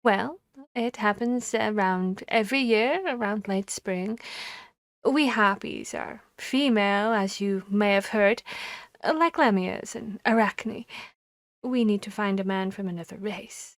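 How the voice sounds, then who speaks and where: A woman's voice: mid-range voice, no echo, natural voice. Woman voicing a courteous, commanding harpy, close and dry. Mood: hesitant, explaining. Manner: formal, deliberate phrasing with hesitant pauses. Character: harpy woman seeking a human mate, commanding yet courteous and bashful. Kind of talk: ASMR audio roleplay.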